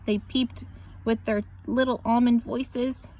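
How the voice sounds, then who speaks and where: A woman's voice: a little high, fairly even intonation, slow pace, dark tone. Woman reading a children's story expressively. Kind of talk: expressive scripted picture-book narration.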